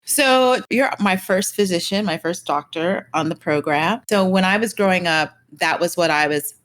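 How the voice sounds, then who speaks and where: A woman hosting an interview podcast, bubbly and animated, who laughs easily with her guest. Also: on the low side, moderate pace. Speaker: podcast host. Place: New York.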